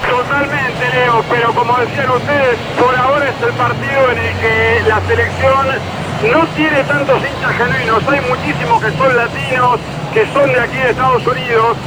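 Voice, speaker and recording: A man with an Argentine accent reporting live from stadium stands, asking fans quick friendly questions. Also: very high-pitched, steady tone. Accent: Argentine Spanish. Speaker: field reporter. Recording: loud stadium noise behind.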